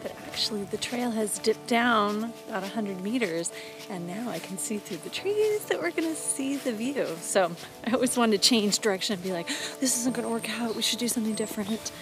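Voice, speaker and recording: Chatty woman filming herself on a trail, a bit high voice, music underneath. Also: expressive, brisk, poor audio. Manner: chatty, upbeat, laughs often. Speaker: hiking vlogger. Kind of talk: casual vlog to camera while hiking. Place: outdoors on a forest trail. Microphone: action camera held at arm's length.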